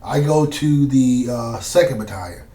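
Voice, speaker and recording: A man telling stories in a casual interview, relaxed and slangy, with plenty of fillers and asides. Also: medium pitch, normal speed, recorded from a distance. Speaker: former Green Beret guest. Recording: roomy sound from off mic.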